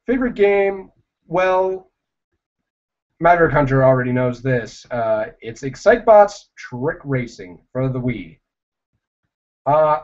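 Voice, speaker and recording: A young man chatting casually on a livestream, answering viewers in a rambling, halting way with many fillers. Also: mid-range voice, a little mellow. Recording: dull lo-fi livestream audio.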